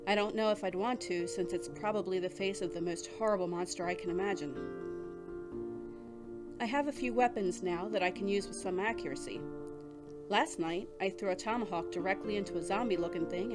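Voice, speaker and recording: A woman's voice: mid-range voice, brisk, loud music behind the voice, lightly acted. A woman playing a weary survivor of an apocalypse, narrating her journal in an audio drama. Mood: grim recounting.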